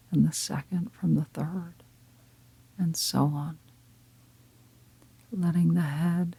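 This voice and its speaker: A woman leading a guided meditation in a calm, gentle, breathy half-whisper, unhurried and with long pauses. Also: low-pitched, no echo, rough recording. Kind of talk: guided meditation, slow soft-spoken instruction.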